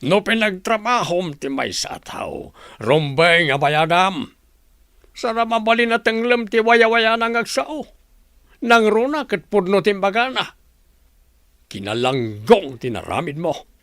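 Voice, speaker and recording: A man's voice: high-pitched, expressive, measured pace, a bit bright, dry recording, studio quality, exaggerated voice. A man acting a stern, scolding older father in an Ilocano radio drama, with emphatic, reproachful questioning.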